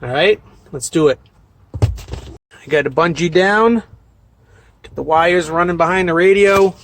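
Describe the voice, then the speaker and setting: A trucker talks through a hands-on job step by step. He is a man with a somewhat high-pitched voice and a casual, matter-of-fact delivery. The recording is made in a truck cab, with light background noise and clicks. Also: a little slow, a bit bright. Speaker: trucker and vlog host.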